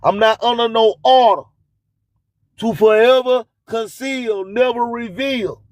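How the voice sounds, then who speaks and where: A male radio host speaks in a high voice at a slow, deliberate pace. He delivers motivational spoken word with preachy emphasis, returning to catchphrases. The sound is close and dry. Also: no room sound.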